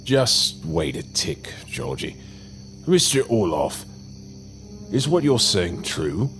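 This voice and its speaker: A man's voice: low voice, very animated, takes it slowly, fairly bright tone, faint music behind, full character voice. An actor playing a polite, hesitant and earnest young man in a horror audio drama. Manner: courteous, hesitant.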